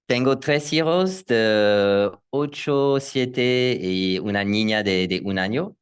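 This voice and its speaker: Deep-voiced man speaking haltingly. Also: clear, resonant tone. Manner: hesitant, halting with many restarts and laughs. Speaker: coaching client. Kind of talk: testimonial interview.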